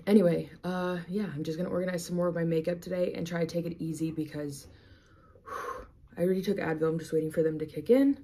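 A young woman vlogger with a slightly raspy, stuffy voice, chatting in a rambling way with lots of "like" fillers, filmed on a camera at arm's length at home and on a patio. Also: on the low side, steady tone, fast talker, warm tone. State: stuffy nose, a bit hoarse. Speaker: lifestyle vlogger. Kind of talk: casual rambling vlog chat. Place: home kitchen indoors, then back patio outdoors. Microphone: camera or phone at arm's length.

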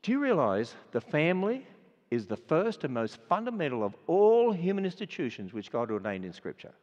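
An older man with an Australian accent preaching a sermon, stressing words and swinging between loud and quiet. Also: fairly high pitch, big pitch swings, moderate pace. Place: large auditorium. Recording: dull sound with long hall reverb.

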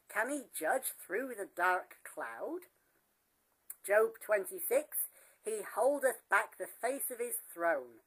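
A woman giving a spoof sermon as a preacher, with a deadpan, mock-solemn pulpit delivery. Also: on the high side, low-quality recording.

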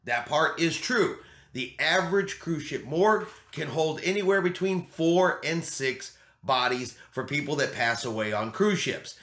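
A man's voice: on the high side, expressive, unhurried, fairly bright tone. Emphatic middle-aged man, roomy echo. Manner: emphatic, repeating key points. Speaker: vlog host. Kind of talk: explanatory commentary to camera. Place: small home studio room, indoors.

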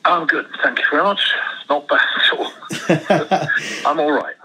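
A man's voice: a little high, moderate pace, background noise. A man in his seventies, born in Switzerland, telling his life story as an interview guest, rambling with many restarts. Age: 70s. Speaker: guest actor. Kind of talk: casual interview chat.

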